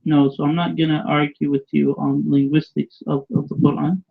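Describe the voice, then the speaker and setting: Guy calling in, slightly high gravelly voice, hesitant, tinny line. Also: little pitch movement. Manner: hesitant, measured, many fillers. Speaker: debate caller. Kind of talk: online debate reply. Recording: tinny phone-like call line.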